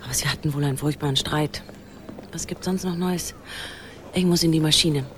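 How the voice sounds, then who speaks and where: A woman's voice: low-pitched, steady tone, brisk, a little hiss or hum, lightly acted. An actress in a radio play playing a busy, chatty young woman with a matter-of-fact way of talking. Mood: chatty, hurried.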